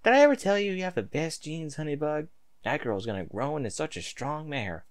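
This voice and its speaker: A man's voice: on the high side, expressive, a little fast, pitched above the performer's own voice, close to an everyday voice. A man voicing a sweet, affectionate female pony, a young wife and mother in a story. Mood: adoring. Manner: affectionate, folksy endearments.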